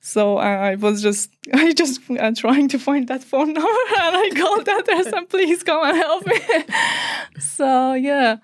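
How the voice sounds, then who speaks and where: A woman in her thirties from Iran, a native Persian speaker, talking in a hesitant, halting way with many fillers as an interview guest. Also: high voice, expressive, no echo, studio quality. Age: 30s. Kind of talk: casual interview conversation.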